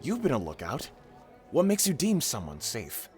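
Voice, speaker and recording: A man's voice: medium pitch, wildly swooping pitch, moderate pace, a little mellow, faint music behind. A man playing an easygoing, wry guard in an audio drama, talking casually with dry teasing. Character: easygoing, wry male royal decoy guard.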